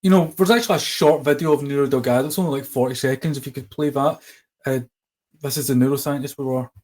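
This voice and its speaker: A man's voice: mid-range voice, fairly even intonation, fast talker, a little mellow. A man hosting a casual podcast conversation, hesitant with many ums and restarts. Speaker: podcast host.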